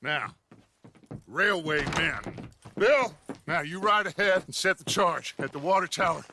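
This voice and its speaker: Grandiose, animated outlaw gang leader. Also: male voice, on the high side, a little slow, background noise. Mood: commanding. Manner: grandiose, commanding, speechifying. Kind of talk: dramatic Western game cutscene acting.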